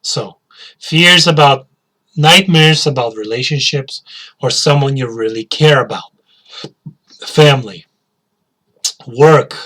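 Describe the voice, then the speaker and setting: A man's voice: medium pitch, slow, long pauses, pushed and bright, slight background noise, poor audio. A male teacher giving a spoken English lesson, asking learners questions and repeating key words.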